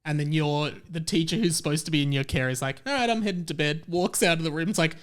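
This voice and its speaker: A man's voice: on the high side, quick pace. A man hosting a comedy podcast, chatting casually in a giggly, self-mocking way. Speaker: podcast host.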